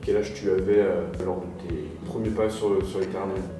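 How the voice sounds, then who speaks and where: A man's voice: deep voice, steady tone, brisk, dark tone. A man asking a rugby player short, plain interview questions. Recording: distant, roomy, music behind.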